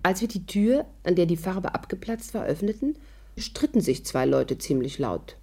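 A woman's voice: fairly low pitch, sing-song, normal speed, warm tone, husky, exaggerated voice. An actress playing a witty, persuasive worldly woman with a playful, wry manner, in a 1950s radio drama. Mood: wry narration. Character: witty, persuasive, worldly female adventurer telling her story. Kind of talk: 1950s German radio drama acting and narration.

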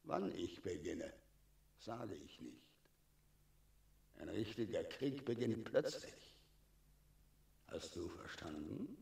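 An actor playing an imperious, menacing dragon in a radio play, scornful and commanding. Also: male voice, low-pitched, animated, takes it slowly, gravelly, pauses often, a little mellow, reverberant, distant mic, heavily acted. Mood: commanding, threatening. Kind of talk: German radio play acting.

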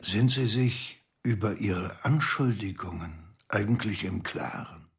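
A man's voice: on the low side, measured pace. An actor playing a stern police commissioner in a radio drama, dry and bureaucratic in his delivery. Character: stern male police commissioner, officious and annoyed.

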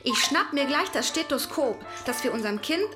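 Woman, high pitch, slow theatrical poetry reading, crude slang, music behind. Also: lively intonation.

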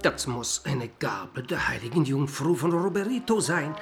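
Man playing animated, grumbling medieval peasant father. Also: mid-range voice, moderate pace, over loud music, close to an everyday voice. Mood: grudging wonder. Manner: rustic, archaic, animated grumbling. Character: gruff, scolding peasant father of a boy. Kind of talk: radio play acting.

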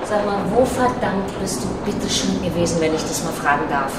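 An actress playing a blunt, foul-mouthed, exasperated wife in a naturalistic radio drama. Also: female voice, mid-range voice, expressive, fairly quick, close to an everyday voice. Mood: angry, accusing. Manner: blunt, swearing, colloquial. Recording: echoey room with clear background noise.

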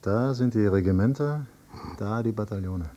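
A man's voice: fairly low pitch, normal speed, mellow, highs cut off, natural voice. An actor playing a grown son who questions his father in a persistent, accusing, controlled way, in a radio drama. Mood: cool, deliberate. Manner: insistent, probing, controlled. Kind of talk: German radio drama acting.

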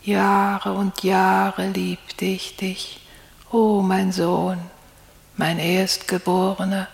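A woman's voice: medium pitch, monotone, very slow, vocal fry. An actress playing a dead mother's pious, mournful ghost in a radio play, solemn and incantatory. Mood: mournful, tender. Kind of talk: 1960s German radio play acting. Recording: long cavernous reverb.

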